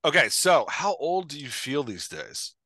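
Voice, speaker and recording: A man's voice: on the high side, big pitch swings, measured pace, pushed and bright, no room sound, studio quality. A man in his forties hosting a podcast, chatting casually in a jokey, self-deprecating way into a headset mic. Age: 40s. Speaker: podcast host. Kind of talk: casual unscripted podcast banter. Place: indoor room, on a video call.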